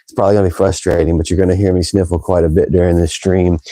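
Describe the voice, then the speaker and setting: A man's voice: low voice, level tone, very fast, warm tone, dry recording. A man chatting casually on a live stream, congested and sniffly from a cold, greeting viewers by name. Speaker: fragrance streamer.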